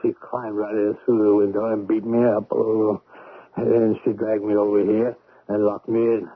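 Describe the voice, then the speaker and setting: An actor playing a boastful, grumbling, hot-tempered Russian drunkard in a 1970s radio drama, with a rambling, blustering delivery. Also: male voice, on the low side, flat delivery, normal speed, dry recording, close to an everyday voice. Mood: rambling, aggrieved. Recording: noisy phone-like 1970s radio recording.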